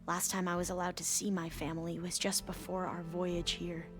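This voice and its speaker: A woman's voice: fairly low pitch, flat delivery, normal speed, natural voice. A woman playing an earnest, dutiful young scholar in a video game, with a slightly hesitant way of talking. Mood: somber, confiding. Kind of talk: video game cutscene acting. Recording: background music under the voice.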